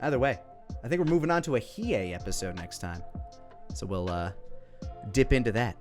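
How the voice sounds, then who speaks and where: A man's voice: medium pitch, expressive, moderate pace, faint music behind. A man giving casual, rambling, self-deprecating commentary on his own old videos.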